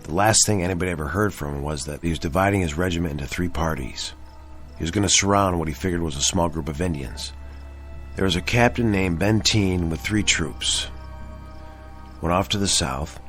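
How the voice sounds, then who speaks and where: An actor playing a seasoned, level-headed army tank sergeant in a radio drama, measured and plain-spoken. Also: male voice, low voice, a bit bright, faint music behind. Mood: grave, recounting.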